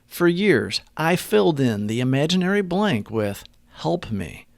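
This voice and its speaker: A man reading a scripted daily meditation and reflection in an earnest, measured manner. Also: a little high, very animated, creaky, dry recording, studio quality.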